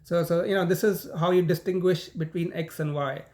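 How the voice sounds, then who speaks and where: A man's voice: fairly high pitch, fairly even intonation, normal speed, a little mellow. A middle-aged man with an Indian accent gives a law lecture in a conversational way, often saying "um" and "you know" and repeating words as he goes. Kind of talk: recorded university lecture.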